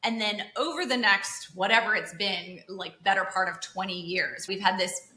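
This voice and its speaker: A woman's voice: mid-range voice, normal speed, pushed and bright, echoey room, poor audio. A woman in her thirties, hosting a podcast and explaining finance in a chatty way, full of asides and "like". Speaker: podcast host. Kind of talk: casual explanatory chat.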